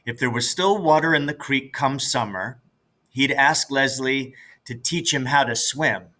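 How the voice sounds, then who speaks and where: Bright-voiced man narrating a children's novel. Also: mid-range voice, a little slow. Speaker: teacher reading aloud. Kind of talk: scripted audiobook-style narration of a children's novel.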